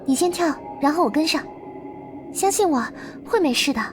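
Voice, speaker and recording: A mysterious game heroine, high soft girlish voice, earnest, coaxing, devoted, music behind. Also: animated, fairly quick. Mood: gently reassuring. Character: Lilith, mysterious devoted girl from beyond the world. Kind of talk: visual novel game voice acting.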